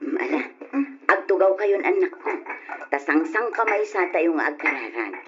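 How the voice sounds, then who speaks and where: A woman's voice: fairly low pitch, sing-song, moderate pace. Fretful country mother, lo-fi radio. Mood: concerned, caring. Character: caring, fretful older rural wife and mother. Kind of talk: Ilocano radio drama acting. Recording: lo-fi old radio, background hiss.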